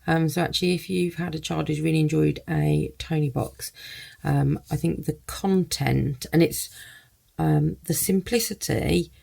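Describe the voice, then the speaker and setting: A woman's voice: low-pitched, fairly even intonation, a little slow, vocal fry, mellow. A woman giving a casual first-look product review, thinking aloud in loose, hesitant phrases.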